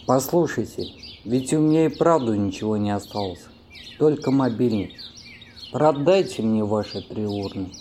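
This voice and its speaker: Despondent down-and-out played by a man, plaintive, dark mellow voice, radio play with faint background birdsong. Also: lowish voice, animated, moderate pace, a little hiss or hum, lightly acted. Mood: desperate, pleading. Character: battered, ruined man, despondent and begging. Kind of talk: amateur audio play acting.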